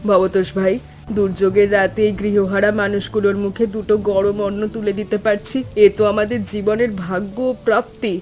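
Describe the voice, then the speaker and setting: Motherly housewife for animated tale, female actor: caring, earnest, steady intonation, faint music underneath. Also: medium pitch, a little fast, lightly acted. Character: gentle motherly village housewife, caring and selfless. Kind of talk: animated story dialogue.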